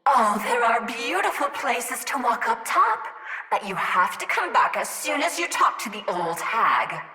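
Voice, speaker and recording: A woman's voice: fairly high pitch, big pitch swings, unhurried, sharp tone, roomy sound, long reverb tail, soft background music, exaggerated voice. An actress voicing a possessive, menacing female machine companion in a video game, cooing. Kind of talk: video game character dialogue.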